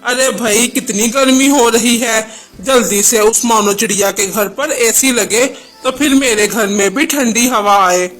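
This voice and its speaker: Guy doing a sneaky crow for a kids cartoon, super high sharp voice, theatrical. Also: unhurried, faint music behind. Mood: complaining. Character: scheming freeloading male crow, sly and self-satisfied. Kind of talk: children's cartoon story dialogue.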